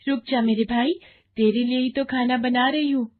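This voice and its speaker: Woman voicing a high-pitched, earnest poor girl. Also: steady tone, moderate pace, phone-quality sound, slight background noise, lightly acted. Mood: determined. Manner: pleading, earnest. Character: poor, earnest, hard-working orphan girl. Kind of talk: Hindi moral cartoon story acting.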